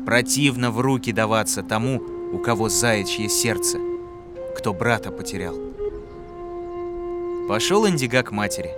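A man narrating a folk tale in a calm, rhythmic storytelling voice. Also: on the low side, moderate pace, pauses often. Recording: clear music behind.

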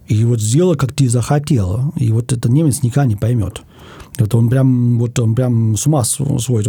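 A man from Germany, a guest in an interview, speaking thoughtfully in casual talk and pausing often to find his words. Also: medium pitch, vocal fry, dark tone, husky, no echo.

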